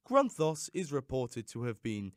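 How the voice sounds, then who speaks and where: Animated male story narrator. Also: mid-range voice, a little slow, slight background noise. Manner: theatrical, expressive storytelling. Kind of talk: dramatic scripted audiobook narration.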